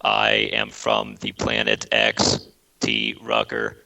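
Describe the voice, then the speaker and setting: A deep, gravelly alien visitor, cold and clinical, speaking slowly and stiffly like a machine. The voice sits extremely low and crackles with fry. The tone is hard and bright. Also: male voice, completely flat delivery, heavily acted. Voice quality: deep gravelly robotic drone. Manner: slow, stiff, formal alien speech. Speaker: prank caller.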